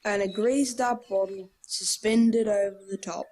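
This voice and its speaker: A woman's voice: mid-range voice, fairly even intonation, a little slow, warm tone, slight background noise, natural voice, low-quality recording. A woman playing a wry, sensible Australian schoolchild in a nostalgic radio play. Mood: dry, sensible. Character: wry, sensible school-age child, gently teasing.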